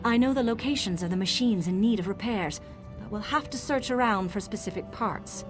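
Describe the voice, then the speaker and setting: A woman plays a brisk, self-assured engineer from a fantasy clockwork city. Her voice sits at a middle pitch and she explains things with precise, technical confidence, as in video game quest dialogue. Soft music plays underneath. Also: close to an everyday voice. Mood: confident, explanatory.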